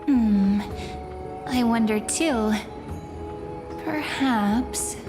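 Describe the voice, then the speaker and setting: A woman's voice: a little high, very slow. A woman playing an enigmatic fortune-telling guide in a fantasy game, composed, musing and mysterious. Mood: calm, mysterious. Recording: long hall reverb under music.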